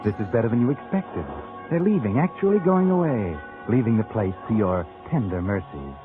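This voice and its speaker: A sinister male storyteller from a 1940s radio thriller, played by an actor, needling a fugitive with sly urgency. His low voice comes through tinny old radio sound, with loud music swelling behind it. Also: animated, fairly quick, close to an everyday voice. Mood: mocking, smug. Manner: insinuating, urgent second-person address. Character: sinister, taunting male narrator addressing a fugitive. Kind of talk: 1940s radio suspense narration.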